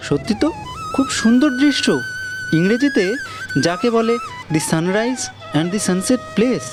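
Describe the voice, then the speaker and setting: A man's voice: extremely high pitch, big pitch swings, slow pace, over music. A man reading scripted narration for a cartoon folk story.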